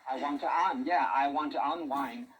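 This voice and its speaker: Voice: medium pitch, moderate pace, fairly bright tone, breathy edge, poor audio. A person chatting casually and ramblingly on a shortwave radio broadcast, heard through a small speaker.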